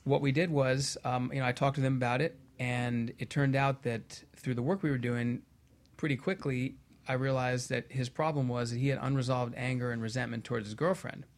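A man's voice: mid-range voice, steady tone, fairly quick. A man speaking as a guest hypnotherapist on a talk show, explaining and telling anecdotes conversationally.

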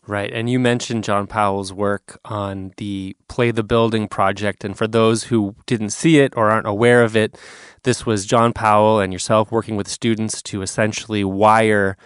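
A man with a smooth, resonant, somewhat low voice hosts an interview, posing long and articulate questions. The sound is dry and close, with no room around it. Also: dry recording. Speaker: interviewer host.

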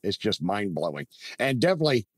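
A man's voice: on the low side, very animated, fast, dry recording, studio quality. A man hosting a podcast interview, chatting casually and praising his guest with enthusiasm. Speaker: podcast host.

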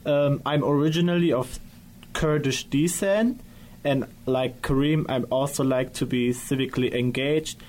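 A teenage boy from Germany introducing himself in a relaxed, slightly wry way. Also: mid-range voice, a little slow, slight background noise. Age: teens. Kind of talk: self-introduction.

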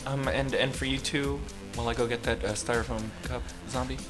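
A man's voice: medium pitch, fairly even intonation, fairly quick, music in the background, close to an everyday voice. A man playing a chatty, polite, hesitant bar server in an improvised radio comedy. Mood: polite, flustered.

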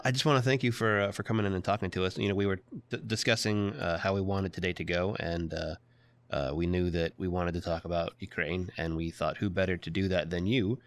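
Young millennial interviewer, low voice, dry close sound, casual and self-deprecating. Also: male voice, dry recording. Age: young adult. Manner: easygoing, self-deprecating, with filler and restarts. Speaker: podcast producer and interviewer.

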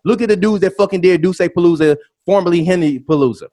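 Fired-up high-voiced man ranting. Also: fast, dark tone. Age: 30s. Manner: ranting, profane, repeats himself insistently. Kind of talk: heated casual debate.

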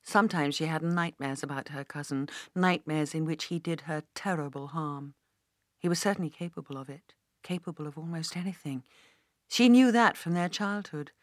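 A woman reading a novel as an audiobook narrator in a measured, articulate way. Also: sits low, no room sound, studio quality. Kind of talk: scripted fiction narration.

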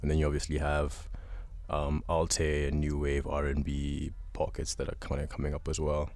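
A young adult man from Uganda, a guest musician answering interview questions in a relaxed, thoughtful way with many pauses. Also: rumbling low, no echo.

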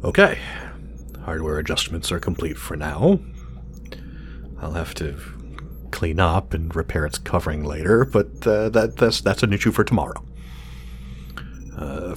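A man's voice: deep voice, a little hiss or hum. An actor playing an irritable, obsessive scientist muttering hesitantly to himself and his drone in an audio drama. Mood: businesslike. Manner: muttering, self-reassuring, hesitant, swearing.

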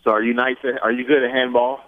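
A man's voice: medium pitch, level tone, quick pace, no room sound. A man asking a basketball player questions in a casual, conversational way on a press call. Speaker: reporter. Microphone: phone. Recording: tinny phone line.

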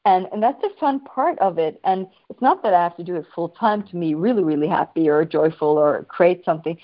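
A woman's voice: on the low side, expressive, brisk, muffled recording. A woman from Sweden, a guest in a casual interview, speaking enthusiastically and playfully from home over a video call. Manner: enthusiastic, free-flowing, playful. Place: at home in Sweden with her dog.

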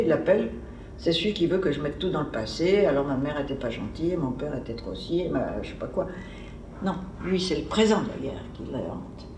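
A woman's voice: deep voice, a little mellow, slight background noise. A woman giving an informal academic seminar talk, digressive and conversational, as if thinking aloud. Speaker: seminar speaker. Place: seminar room. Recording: echoey room, mic far off.

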